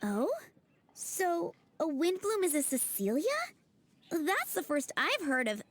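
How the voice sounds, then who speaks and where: High-pitched cheerful flower girl. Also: sing-song, slow, full character voice. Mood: polite, surprised. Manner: sweet, polite, giggly. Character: cheerful young girl running a flower shop. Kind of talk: anime game voice acting. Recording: music behind the voice.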